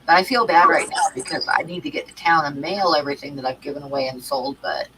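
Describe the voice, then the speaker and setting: Woman, stuffy from a cold, bright and low-pitched, rambling livestream chat, faint background noise. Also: fast talker, rough recording. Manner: rambling, many ums and restarts. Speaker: art livestream host. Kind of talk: casual chat to live chat.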